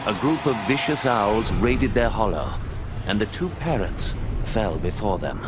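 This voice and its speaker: An older man playing a wise, gruff old owl mentor in a video game, speaking in a measured, formal way. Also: fairly low pitch, background noise, lightly acted. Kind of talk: scripted dramatic narration and game dialogue.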